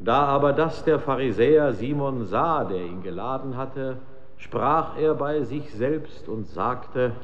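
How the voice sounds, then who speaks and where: An actor in a German radio drama playing a solemn Gospel reader who declaims slowly, with dramatic swells and hushes. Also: male voice, medium pitch. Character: solemn male scripture reader reciting the Gospel. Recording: long reverberant hall, slightly dull sound.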